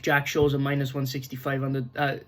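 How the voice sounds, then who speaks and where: A young man with a Welsh accent chats loosely through his fight picks, stumbling over names and restarting his sentences. His voice sits mid-pitch and moves very little in tone, with a flat, level delivery throughout. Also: a little fast. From Wales. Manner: rambling, frequent fillers and restarts, candid. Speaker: MMA betting tipster. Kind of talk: casual fight predictions to camera.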